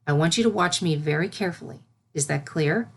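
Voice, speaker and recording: Lady doing a calm royal mare teacher, lowish voice, soft measured, cartoony. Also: breathy edge. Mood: calm, instructing. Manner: gentle, measured instruction. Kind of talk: cartoon fan fiction character reading.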